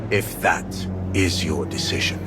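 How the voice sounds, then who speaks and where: Stern old-guard warrior from a video game, low voice, clipped and wary, music behind. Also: male voice, a little slow. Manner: formal, clipped, authoritative. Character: grave, stern male veteran warrior, wary and commanding. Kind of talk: video game cutscene acting.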